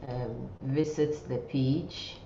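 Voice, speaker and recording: A woman with a very low, mellow voice teaches an informal online class. She talks slowly and haltingly, often repeating herself. The sound is muffled and echoey, picked up by a computer microphone in a room. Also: steady tone, vocal fry. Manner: halting, repeating words and phrases. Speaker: nursing lecturer. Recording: muffled, roomy sound with faint noise.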